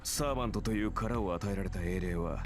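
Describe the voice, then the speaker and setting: A man plays a cynical warrior spirit in red from an anime game. His voice is deep and quick, dripping with haughty disdain, over background music. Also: close to an everyday voice. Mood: contemptuous. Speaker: voice actor in a visual novel.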